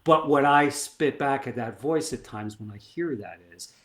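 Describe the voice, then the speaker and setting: A middle-aged man, a performance coach, telling stories in an animated, emphatic way and acting out bits of dialogue. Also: mid-range voice, moderate pace. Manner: animated, emphatic storyteller who acts out dialogue. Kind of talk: conversational storytelling.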